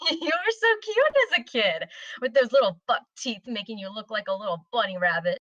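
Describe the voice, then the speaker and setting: A woman's voice: on the high side, sing-song, moderate pace, fairly bright tone, no room sound. A woman playing a protective, teasing aunt at a screenplay table read. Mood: teasing, affectionate. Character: teasing, protective aunt who raised her niece. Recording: slightly dull, lo-fi microphone sound.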